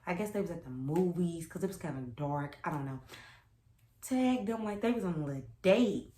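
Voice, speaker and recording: A young woman with a low voice chats casually as a storytime vlogger. She is sassy and emphatic, often repeating herself to make a point. The room around her adds a noticeable echo. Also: expressive, normal speed, warm tone. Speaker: vlogger telling a personal story. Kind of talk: casual storytime chat to camera. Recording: roomy echo.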